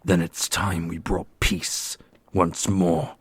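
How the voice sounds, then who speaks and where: A man playing a brooding, commanding immortal prince in an ASMR fantasy roleplay, speaking gravely and deliberately with a gravelly, husky voice. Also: low voice, little pitch movement, dry recording, full character voice. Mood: grave, resolute.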